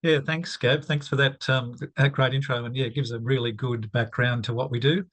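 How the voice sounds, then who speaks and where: A man's voice: medium pitch, fairly even intonation, fairly quick, fairly bright tone. A man presenting on a business webinar, talking in an easygoing, conversational way. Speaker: certification manager, presenter.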